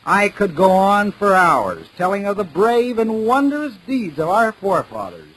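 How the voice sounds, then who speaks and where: A man's voice: high voice, big pitch swings, unhurried, fairly bright tone, breathy edge, full character voice, poor audio. A man playing a pompous, mock-serious radio announcer giving an oratorical comedy speech in 1940s style on an old 78rpm record. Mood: mock-solemn.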